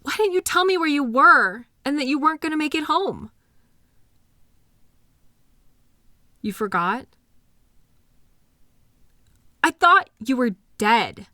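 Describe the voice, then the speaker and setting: High-voiced, anxious, hurt girlfriend played by a woman. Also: sharp tone, dry recording, studio quality, exaggerated voice. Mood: wounded, angry. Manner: emotional, accusatory, halting. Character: hurt, anxious girlfriend with trust issues, arguing with partner. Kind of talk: intimate roleplay audio drama.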